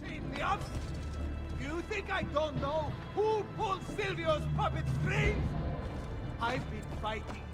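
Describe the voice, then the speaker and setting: An actor playing a defiant veteran warrior in a video game, roaring with scorn. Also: male voice, extremely high pitch, animated, takes it slowly, over loud music, heavily acted. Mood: defiant fury. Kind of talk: video game cutscene acting.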